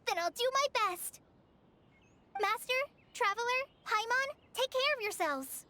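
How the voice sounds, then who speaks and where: A woman's voice: very high voice, lively intonation, unhurried, pushed and bright, heavily acted. A woman voicing a small, eager, earnest childlike helper in a video game. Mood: flustered, earnest. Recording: clear game music behind the voice.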